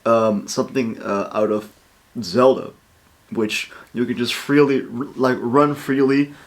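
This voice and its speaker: A man hosting a reaction video, talking casually with informal slang and swearing. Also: medium pitch.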